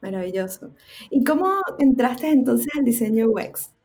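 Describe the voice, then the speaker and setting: A woman's voice: on the high side, lively intonation, moderate pace, dark tone. A woman co-hosting a podcast interview, welcoming the guest with enthusiasm. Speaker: podcast co-host. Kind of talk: interview host.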